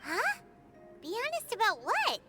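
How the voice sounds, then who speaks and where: Tiny cheeky fairy mascot for a game, super high squeaky voice, unhurried, loud music behind. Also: female voice, wildly swooping pitch, heavily acted. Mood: puzzled. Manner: squeaky, speaks of herself in third person. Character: tiny childlike female fairy companion, chirpy and cheeky. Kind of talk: video game mascot acting.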